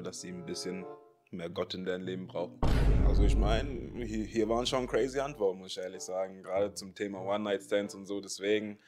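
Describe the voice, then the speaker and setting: A man in his twenties from Frankfurt, a contestant with short, pious answers. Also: low voice. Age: 20s. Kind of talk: casual chat.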